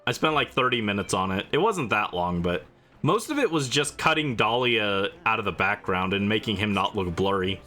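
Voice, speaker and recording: Male game streamer: bright tone, expressive pitch swings, playful off-the-cuff chat with viewers over background music. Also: normal speed. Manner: playful, chatty, responding to viewers. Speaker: livestream host. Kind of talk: casual gaming livestream chat with viewers.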